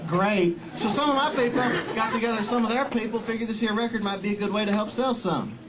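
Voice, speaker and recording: An actor playing a dim, cocky young country music star with a folksy Southern drawl, in a live satirical sketch. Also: male voice, high-pitched, quick pace, lightly acted, rough recording. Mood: folksy, rambling. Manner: folksy, blithely self-absorbed drawl. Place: comedy club stage before an audience. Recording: live club stage, loud crowd, music.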